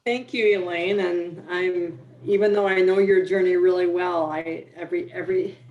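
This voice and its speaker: Low-voiced woman moderator in an echoey room. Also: fairly even intonation, moderate pace, lo-fi sound. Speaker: panel moderator. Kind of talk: hosting a video call panel. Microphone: video call.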